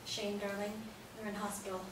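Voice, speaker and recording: A woman's voice: mid-range voice, monotone, a little fast, close to an everyday voice. An actress in a play reading playing a loving, worried mother, tender and reassuring at her son's bedside. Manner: tender, reassuring, simple explanatory phrases. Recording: echoey room, distant mic, noisy.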